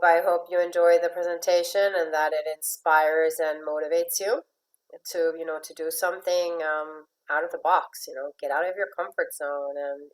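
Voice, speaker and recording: Woman, low voice, from Venezuela, relationship coach, chatty, light laughs. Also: low-quality recording. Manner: chatty, repeats words, laughs lightly between phrases. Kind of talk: motivational talk to a group.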